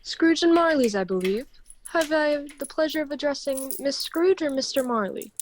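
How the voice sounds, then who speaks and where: A woman's voice: sits high, animated, moderate pace, slight background noise. A woman playing a polite, earnest charity collector in an amateur radio play, formal and courteous, recorded over a home video call.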